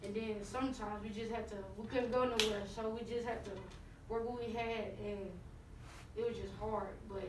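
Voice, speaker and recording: A young woman basketball player answering press questions in a halting, subdued, casual way. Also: fairly high pitch, little pitch movement. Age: teens. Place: small room at an arena. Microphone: far camera. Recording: roomy, distant, noisy.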